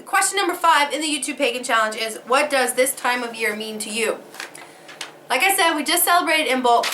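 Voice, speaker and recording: A woman chatting to her camera, her voice fairly high and very bright but wearing thin from a head cold. She laughs a lot and swears in passing. A faint hiss sits under the recording. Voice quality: laughs often, swears casually. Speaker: vlogger. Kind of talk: casual chat to camera.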